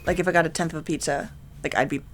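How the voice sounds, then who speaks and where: A woman's voice: sits low, steady tone, racing, faint music behind. A young woman with a Venezuelan accent hosting a comedic rant, joking and sarcastic with lots of "like". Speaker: comedy web show host.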